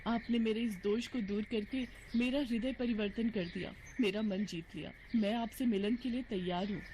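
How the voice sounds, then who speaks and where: A woman's voice: fairly high pitch, fairly even intonation, moderate pace, darkish tone, a little hiss or hum, lightly acted, poor audio. A woman voicing a modest, respectful young boatman's daughter in a devotional storybook, polite and earnest. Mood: grateful. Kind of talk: dramatized devotional storybook acting. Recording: music bed behind voice.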